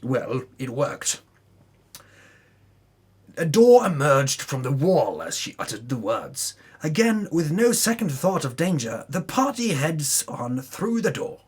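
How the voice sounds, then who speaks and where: A man's voice: fairly high pitch, sing-song, slow, darkish tone, slightly rough. A man narrating a tabletop campaign recap as a dramatic storyteller, with theatrical delivery and casual asides.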